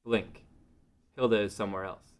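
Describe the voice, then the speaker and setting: A man's voice: fairly low pitch, steady tone, moderate pace, clear, resonant tone. A man reading scripted narration as narrator of a table read.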